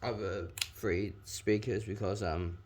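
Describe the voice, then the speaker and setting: A 19-year-old man, chatting casually through a hands-on how-to while deliberately pushing his voice extra deep. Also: fairly even intonation, darkish tone, slight background noise. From New Zealand. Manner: chatty, filler-heavy, talks while working with his hands. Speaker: vlogger.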